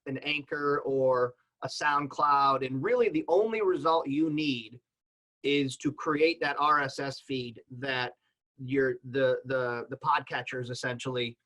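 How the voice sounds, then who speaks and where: Bright, forceful man explaining casually. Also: medium pitch, steady tone, takes it slowly, low-quality recording. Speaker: podcaster and panelist. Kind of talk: casual explanatory panel talk.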